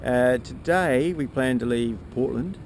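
Gravelly man, casual outdoor vlog. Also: medium pitch, measured pace. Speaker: sailing vlogger, boat skipper. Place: outdoors on a sailing yacht. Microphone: camera held at arm's length. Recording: outdoor wind and boat noise.

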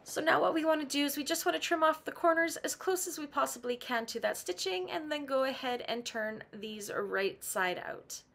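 Woman, middle-aged, higher pitch, raspy after laryngitis; practical tutorial host. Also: expressive, normal speed, hardly any pauses, fairly bright tone. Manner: patient, practical, with some "um" and restarts. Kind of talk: step-by-step sewing instruction. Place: home sewing room.

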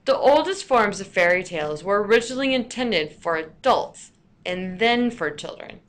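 A woman narrating a scripted lesson as a teacher, with deliberate, storyteller-like emphasis. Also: mid-range voice, expressive.

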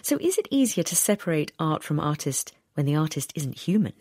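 A woman's voice: deep voice, very animated, fairly quick, darkish tone, breathy edge, no room sound, clean studio sound. A woman presenting a radio documentary, narrating in a scripted, inquisitive way.

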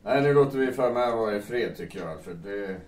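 A man playing a calm, sensible bourgeois gentleman in an audio drama, soothing and a little hesitant. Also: lowish voice, close to an everyday voice. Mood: placating. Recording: distant, echoey room with faint noise.

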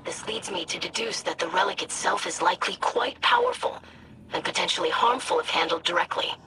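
A composed, analytical female researcher from a video game, explains things precisely and in a businesslike way. Her pitch moves animatedly, and there is clear ambient noise behind her. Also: huge pitch swings, brisk. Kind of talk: scripted video game dialogue. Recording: noisy background ambience.

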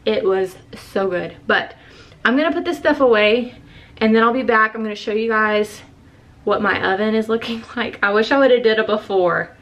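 A woman's voice: a little high, moderate pace, a bit bright. A young woman, chatting casually to camera in her home kitchen, rambling and correcting herself as she goes. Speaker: vlogger. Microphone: handheld camera close to face.

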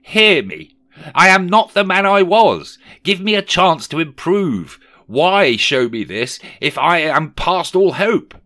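A man's voice: on the high side, huge pitch swings, a little slow, bright, forceful tone, no room sound, pitched above the performer's own voice, full character voice. A man voicing a theatrical, exclamatory miser prime minister in a storybook reading, frightened and reformed. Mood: pleading, terrified. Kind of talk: dramatic audiobook character reading.

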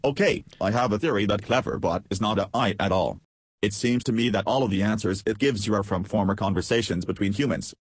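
Evasive interview chatbot, played by a man: lowish male voice, childlike bluntness, dry close sound. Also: fairly quick, husky, no echo. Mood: blunt. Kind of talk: reenacted text-chat interview.

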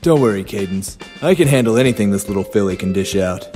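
A woman's voice: extremely low pitch, animated, fast, mellow, soft background music, voice pushed down. A woman voicing a grown stallion and young father who sounds exasperated and pleading. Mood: confident.

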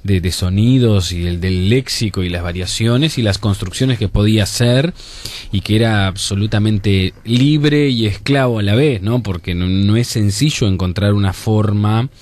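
Low-voiced Uruguayan writer, thoughtful. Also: male voice, moderate pace, darkish tone, slight background noise. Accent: Uruguayan border Spanish. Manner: thoughtful, uses voseo. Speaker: writer guest. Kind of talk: radio interview.

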